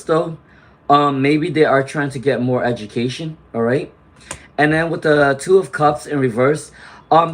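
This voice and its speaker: A man doing a live tarot reading, chatty and reassuring, clearing his throat often as he loses his voice to laryngitis. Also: fairly high pitch. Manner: chatty, reassuring, frequent "okay?" and "all right?" tags. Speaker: tarot reader; teacher.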